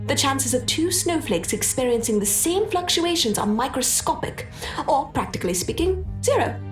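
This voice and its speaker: An actress voicing an eager, animated young woman who explains things in a video game. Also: sits high, moderate pace, roomy sound, soft background music. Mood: eager, explanatory. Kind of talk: video game character acting.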